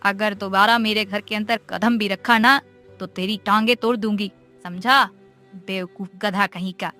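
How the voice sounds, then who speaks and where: A woman playing a fierce farmer's wife in an animated moral story, scolding with threats. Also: mid-range voice, unhurried, soft background music. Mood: furious.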